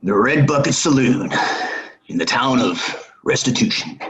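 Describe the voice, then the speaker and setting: An actor playing a menacing, distrustful gunslinger stranger in a Western audio play, talking in a terse, probing way. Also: male voice, medium pitch, animated, unhurried, a bit bright, rough recording. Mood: gruff, weary.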